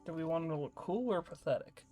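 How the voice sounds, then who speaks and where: Higher-voiced man in casual game chat. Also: animated, fast talker, dark tone, soft background music. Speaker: let's play co-host.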